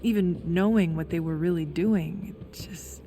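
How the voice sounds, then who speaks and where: A woman's voice: lowish voice, unhurried, warm tone, a little hiss or hum, natural voice. A young woman playing a determined, caring corporate insider in a video game, earnest and reassuring. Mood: subdued, earnest. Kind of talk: video game cutscene acting.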